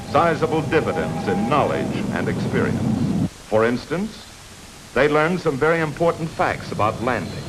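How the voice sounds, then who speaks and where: An old film soundtrack with clear hiss carries an American man's narration. He reads in a scripted 1950s military training style, measured and instructive. His medium-pitched voice moves expressively up and down, with firm emphasis on key points.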